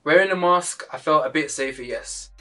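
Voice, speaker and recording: Bright-voiced man, casual and chatty. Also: fairly high pitch, normal speed, faint music behind. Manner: casual, chatty, hesitant fillers. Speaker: school worker interviewee. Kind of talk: interview.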